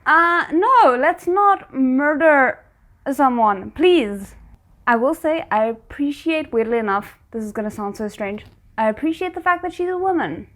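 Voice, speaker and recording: A woman's voice: high voice, animated, unhurried, a bit bright. A woman hosting a reaction video, reacting emotionally and then talking through the episode thoughtfully. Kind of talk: casual reaction commentary.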